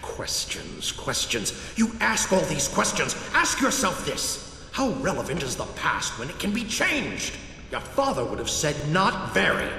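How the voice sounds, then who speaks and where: Proud old alien general, echoing. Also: male voice, on the high side, wildly swooping pitch, measured pace, creaky, bright, forceful tone, slight background noise, full character voice. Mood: defensive, intense. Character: gruff, proud older male Lombax general, evasive mentor. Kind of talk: video game cutscene acting.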